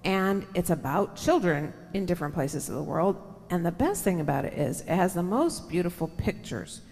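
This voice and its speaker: A middle-aged woman reading a picture book aloud to children, expressive and lingering on words, with conversational asides. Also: on the low side, moderate pace, vocal fry. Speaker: church storyteller for children. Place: large church sanctuary, seated indoors. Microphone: headset microphone. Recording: church hall reverb.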